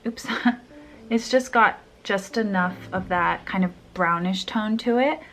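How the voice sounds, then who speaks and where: A woman's voice: mid-range voice, slow pace, a bit bright. Chatty young woman, a bit sniffly. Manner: chatty, rambling, trails off mid-sentence. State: a little sniffly. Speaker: beauty vlogger. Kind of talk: casual makeup tutorial chat.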